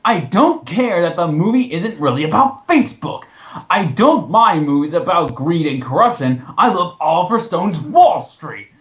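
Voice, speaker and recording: Man acting out whiny male film critic, raised pitch, petulant, overdramatic, mocking dramatic reading. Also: big pitch swings, normal speed, a bit bright, echoey room. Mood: whiny indifference. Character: whiny, exaggeratedly indifferent male film critic being mocked.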